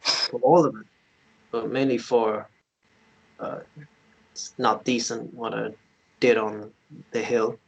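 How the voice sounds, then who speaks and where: Husky, stammering, bashful young man played by an actor. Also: medium pitch, steady tone, slow, pauses often, poor audio. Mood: shy, embarrassed. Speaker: actor at a table read. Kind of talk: fantasy romance table read.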